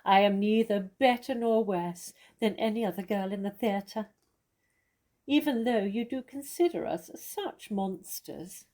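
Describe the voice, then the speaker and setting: Famous stage actress played by a woman, witty and self-assured, gently mocking, mellow tone, theatrical comedy delivery. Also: mid-range voice, unhurried, lightly acted. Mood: teasing, self-assured. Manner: arch, condescending, theatrical.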